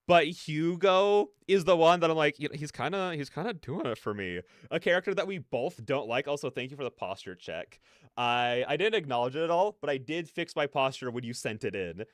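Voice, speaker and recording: A man's voice: mid-range voice, clean tone, dry recording. A man chatting casually on a livestream as a reaction host, close to the mic.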